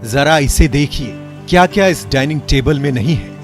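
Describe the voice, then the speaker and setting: A man's voice: a little high, animated, moderate pace. A man reading scripted news feature narration as a voice-over. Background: loud background music.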